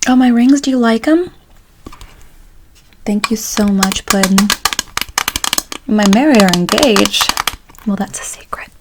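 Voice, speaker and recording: A soft-spoken woman doing an ASMR roleplay as a folksy, chatty late-night barber, often slipping into a whisper. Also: medium pitch, measured pace, long pauses, very noisy. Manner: folksy, chatty, calls listener pet names. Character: chatty, tired late-night female barber, sweet and sassy.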